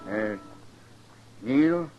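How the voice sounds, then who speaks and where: An actor playing a gruff, proud old rancher with a halting, blustery manner in a 1950s radio western. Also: male voice, mid-range voice, expressive, over music. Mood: halting, sheepish. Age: older. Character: gruff, proud old male rancher, awkwardly soft-hearted. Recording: muffled 1950s radio recording.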